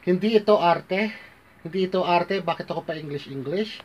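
A man's voice: high-pitched, brisk, poor audio. A man in his thirties with an American accent, talking casually into a phone camera in a small bedroom, rambling and restarting, mixing in Tagalog phrases. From Philippines, raised in the United States. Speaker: vlogger. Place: bedroom, small indoor room. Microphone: phone camera at arm's length.

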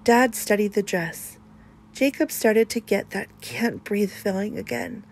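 A woman reading a children's picture book aloud in an expressive narrating style. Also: mid-range voice, unhurried, vocal fry, slight background noise. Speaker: storybook reader.

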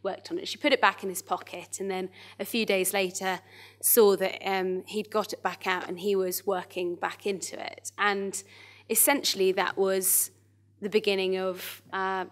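Female panel speaker, mid-pitched, hesitant and thoughtful, informal delivery into a stage mic. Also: level tone, moderate pace. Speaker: panellist, arts access manager. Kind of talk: informal panel talk, telling an anecdote. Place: small theatre stage in front of an audience. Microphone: microphone on stage.

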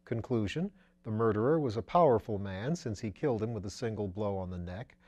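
A man voicing a sober, methodical detective inspector in a storybook, laying out deductions in a measured way. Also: on the low side, a little mellow, muffled recording, close to an everyday voice.

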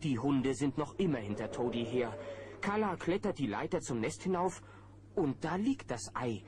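A man's voice: mid-range voice, very animated, normal speed, natural voice. A man narrating a children's adventure audio play as an engaged storyteller. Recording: noisy old cassette recording.

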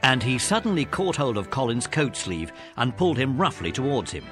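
Casting: male narrator for children's audio story, mid-pitched, lively delivery. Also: fairly quick, over music.

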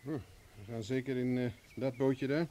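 An actor playing a defiant, sardonic private investigator held captive in a radio play. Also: male voice, mid-range voice, steady tone, normal speed, mellow, close to an everyday voice. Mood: defiant, wry. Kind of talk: vintage Dutch radio play acting.

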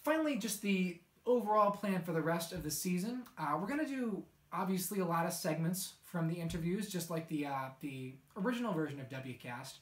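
A man's voice: sits high, animated, a little fast, few pauses. A young man hosts a show, presenting announcements straight to the audience in conversational sentences. The room around his voice is noticeably echoey. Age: young adult. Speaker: show host.

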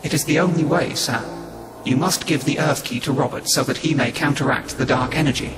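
A man playing a calm, formal, all-knowing otherworldly being in a sci-fi audio drama. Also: mid-range voice, natural voice. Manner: formal, measured, speaks as "we". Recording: echoey, music behind.

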